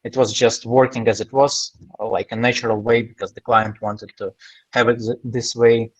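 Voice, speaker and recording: A man's voice: mid-range voice, little pitch movement, normal speed, no room sound. A man taking part in an online roundtable, explaining an experience hesitantly with many fillers over a video call. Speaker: roundtable participant. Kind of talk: casual online roundtable chat. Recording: dull dry call audio.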